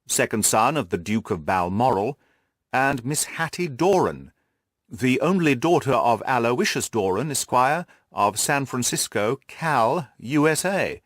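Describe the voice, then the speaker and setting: A man voicing an earnest, orderly gentleman companion in an audiobook, measured and deliberate in manner. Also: medium pitch, animated, no echo, clean studio sound. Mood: measured, informative. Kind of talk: audiobook character voice.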